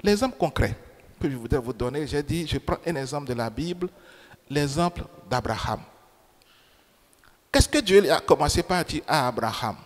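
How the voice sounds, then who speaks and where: A man's voice: on the high side, lively intonation, normal speed, pauses often. A man preaching a sermon in French, which is not his first language. He repeats key phrases and puts questions to the congregation. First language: another language than French. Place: church. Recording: church reverb. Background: long hall reverb.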